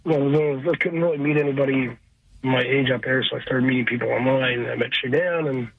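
A man in his forties from Cincinnati, a guest on a radio interview, talking casually with lots of pauses and fillers. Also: medium pitch, fairly even intonation, gravelly. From Cincinnati, USA. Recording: phone line.

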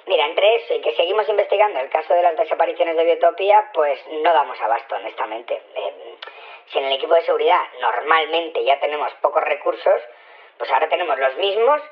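Shrunken little security boss, pitched-up tiny voice, hesitant and candid, muffled phone line, radio drama. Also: male voice, fairly quick. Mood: overworked. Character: flustered security chief shrunk to half size, small high voice. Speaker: interviewed security chief. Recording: muffled narrow telephone line.

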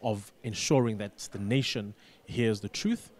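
Man, halting, repeating for emphasis. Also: fairly low pitch. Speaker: radio business manager, studio guest. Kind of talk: conversational interview answers.

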